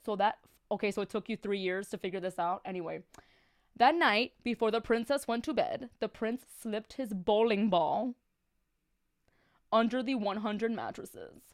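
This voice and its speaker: A woman reading a story on a live stream, throwing in sassy, slangy, exasperated asides. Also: on the high side.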